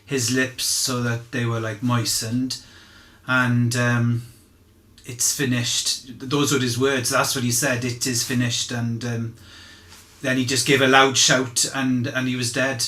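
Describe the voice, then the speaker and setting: A man playing a candid, humble fisherman giving halting, conversational eyewitness testimony in a courtroom drama. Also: medium pitch, flat delivery, sharp tone. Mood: sombre recollection. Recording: roomy, echoing sound.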